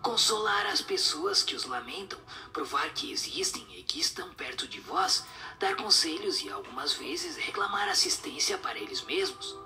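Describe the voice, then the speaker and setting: A woman voicing a solemn, instructive spirit in a radio drama, with a breathy, whispery voice and formal delivery. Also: mid-range voice, huge pitch swings, moderate pace, pushed and bright, faint music behind, full character voice.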